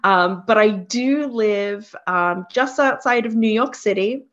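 Unhurried Australian woman guest who thinks aloud; slightly dull lo-fi recording. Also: medium pitch. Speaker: guest, career coach. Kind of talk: conversational interview.

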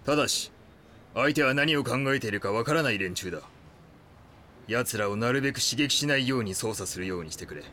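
An actor playing a cautious, authoritative police chief in an audio drama, formal and commanding. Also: male voice, medium pitch, animated, fairly quick, bright, forceful tone, a little hiss or hum.

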